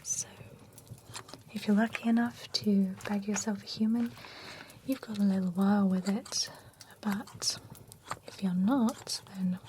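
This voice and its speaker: A woman whispering as a chatty female vampire who grooms a werewolf at a spa, soft and reassuring. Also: mid-range voice, fairly even intonation, unhurried, long pauses, slight background noise, natural voice. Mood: whispery, reassuring. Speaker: ASMR roleplay performer. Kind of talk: whispered ASMR roleplay.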